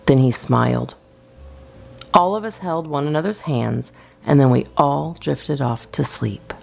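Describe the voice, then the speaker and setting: A woman host giving an expressive, theatrical reading of a children's story. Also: rumbling low, measured pace, gravelly.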